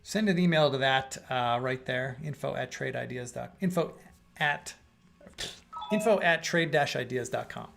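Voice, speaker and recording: Gravelly man hosting a live Q&A. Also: mid-range voice, normal speed, a bit bright, soft background music. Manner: conversational, thinking aloud with fillers and restarts. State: losing his voice, clearing throat. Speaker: host of live trading room. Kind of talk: casual live-stream Q&A.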